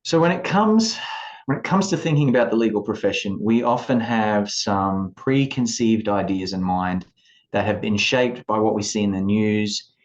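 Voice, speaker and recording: A man in his forties with an Australian accent, giving a measured, explanatory talk to students as an admissions advisor over a video call. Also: fairly low pitch. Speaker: law school admissions advisor. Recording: dull lo-fi video call audio.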